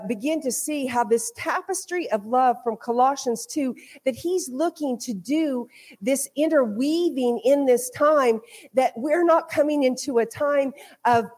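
Woman, American accent, fairly high voice, visiting preacher, informal sermon, conversational delivery, repeated phrases. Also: darkish tone. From Oklahoma, United States. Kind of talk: informal sermon with personal testimony. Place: speaking to a congregation at a church.

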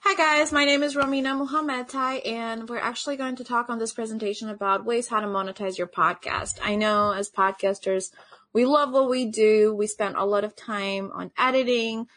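A woman's voice: on the high side, steady tone, moderate pace, fairly bright tone. A young woman from Albania, speaking, gives an earnest online conference presentation as a podcast host, often saying "actually" and "you know.".

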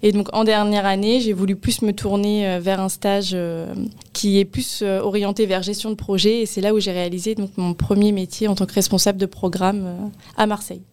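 Young woman with a clear, smooth voice. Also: mid-range voice, flat delivery, fairly quick, a little mellow. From France, Paris region. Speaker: interview guest, senior property programme manager. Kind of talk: conversational interview answers.

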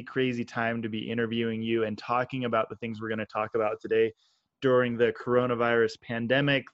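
A man's voice: medium pitch, no room sound, muffled recording. A man hosting a podcast, introducing the show and interviewing a guest in a friendly, upbeat way. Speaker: podcast host.

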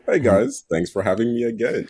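A man with a husky voice, a nonprofit guest explaining things casually in an interview. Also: on the low side, moderate pace, a little mellow. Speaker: guest, nonprofit board member.

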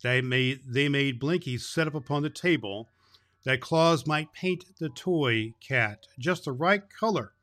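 A man's voice: mid-range voice, measured pace, a bit bright, no room sound. A man reading a children's story aloud as a storyteller, expressively, with small stumbles over the words.

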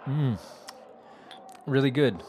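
An actor playing a polite, hesitant documentary interviewer in a comedy audio drama, asking simple questions in an everyday manner. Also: male voice, mid-range voice, takes it slowly, darkish tone, slight background noise, natural voice, poor audio.

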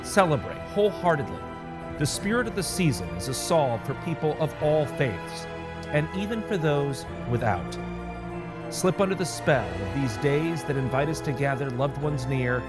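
A man's voice: a little high, sing-song, normal speed, over loud music. A man playing a heartfelt holiday narrator, delivering grand, eloquent scripted narration in an audio drama. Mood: solemn, uplifting.

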